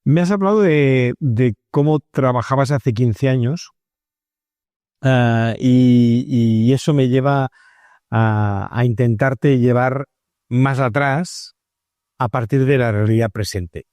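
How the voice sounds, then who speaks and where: A man interviews a guest in a dry recording with very little room sound. He speaks somewhat slowly in a mid-range voice, building his questions hesitantly. He often restarts a phrase and checks in as he goes. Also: mid-range voice, dark tone, no room sound. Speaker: interviewer, podcast host.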